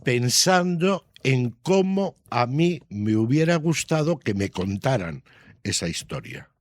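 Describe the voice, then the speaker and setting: A man's voice: mid-range voice, animated, takes it slowly, dry recording, clean studio sound. An older man with a Castilian Spanish accent answers interview questions as a children's author, explaining things conversationally with frequent tag questions.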